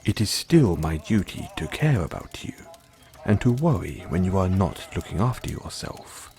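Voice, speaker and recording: A man playing a polite, doting and gently teasing butler in an audio roleplay, speaking in a formal, measured way. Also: low-pitched, sing-song, soft background music. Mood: courteous, caring.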